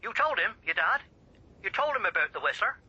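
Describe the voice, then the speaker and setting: Through a thin telephone filter comes a gruff local man in a radio play. His voice is piercing and rough-edged, swinging widely as he passes on news in clipped, probing phrases. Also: high voice, very animated, a little fast, bright, forceful tone, no echo, full character voice. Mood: urgent, insinuating. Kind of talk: radio drama acting. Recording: thin band-limited telephone line.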